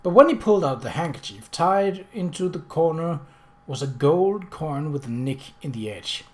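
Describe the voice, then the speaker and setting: A man's voice: on the high side, lively intonation, normal speed, a little mellow. Man giving a dramatic audiobook reading. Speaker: audiobook reader. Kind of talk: scripted narration of a novel.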